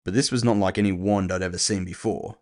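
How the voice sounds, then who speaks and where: Low-voiced man narrating fiction. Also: steady tone, fast talker, darkish tone. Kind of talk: first-person scripted narration.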